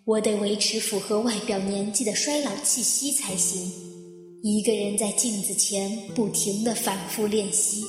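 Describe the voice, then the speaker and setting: A woman's voice: mid-range voice, fairly even intonation, moderate pace. Echoing inner voice of a secretive woman acted. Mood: secretive, brooding. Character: secretive woman disguised as elderly, calculating, inner monologue. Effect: long reverb for inner thoughts. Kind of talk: dramatic inner monologue, audio drama. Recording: heavy echoing reverb, faint guitar music.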